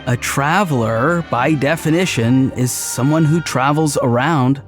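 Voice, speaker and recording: A man's voice: medium pitch, unhurried, faint music behind, lightly acted. A man playing a laid-back, friendly and quirky young man in a video game, casual and literal-minded. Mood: matter-of-fact.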